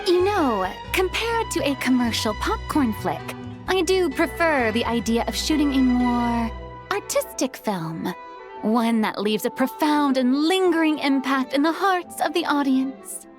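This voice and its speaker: A woman's voice: high-pitched, animated, measured pace, music in the background. An actress voicing a poised, refined woman film director.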